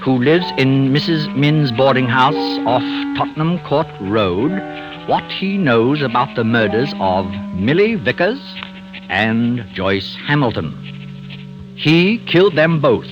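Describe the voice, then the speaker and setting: An actor playing a nervous, self-righteous murderer doctor in an old radio drama, hesitant, stammering and theatrical. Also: male voice, medium pitch, lively intonation, slow pace, dull audio. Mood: secretive, smug. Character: nervous, self-righteous male poisoner doctor confessing his crimes. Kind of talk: old-time radio drama acting and first-person narration. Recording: old radio broadcast with faint music.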